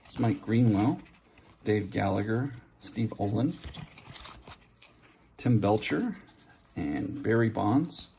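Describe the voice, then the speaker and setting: Low, gravelly man chatting casually. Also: slow pace, long pauses, warm tone. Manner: offhand, reading out names and musing aloud. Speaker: host of a trading card opening channel. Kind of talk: casual running commentary while opening card packs. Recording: background hiss, handling clicks and card shuffling.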